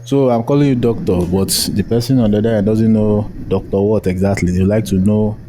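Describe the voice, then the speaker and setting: A man's voice: lowish voice, steady tone, fairly quick. A young Nigerian-accented man hosting a casual audio-chat interview, playful and informal, often repeating words for emphasis. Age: young adult. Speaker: podcast host. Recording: faint noise, live online audio chat.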